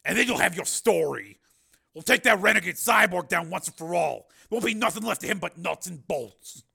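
A man's voice: high-pitched, lively intonation, moderate pace, creaky, bright, forceful tone, breathy edge, voice pushed up, exaggerated voice. A man voicing a blustering, impatient lawman in an audiobook, blunt and exasperated. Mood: cocky, threatening. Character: blustering, impatient male marshal, cocky lawman.

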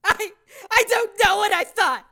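Woman as a frantic estranged mother, high, bright, halting and tearful. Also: animated. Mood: distraught. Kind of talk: dramatized audiobook acting.

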